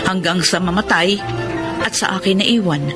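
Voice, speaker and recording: A woman's voice: fairly low pitch, moderate pace, loud music behind the voice, close to an everyday voice. A woman with a Filipino accent playing a bossy but caring eldest sister in a radio drama, with a nagging manner. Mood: sad, reflective. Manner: nagging, scolding. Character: Minerva, bossy caring eldest sister raising two younger siblings.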